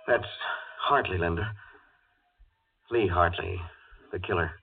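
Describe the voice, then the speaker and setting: A man playing an earnest, grave rescuer in a halting 1940s radio thriller style. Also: low voice, breathy edge, exaggerated voice. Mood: grave explanation. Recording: muffled, distant old radio recording with music.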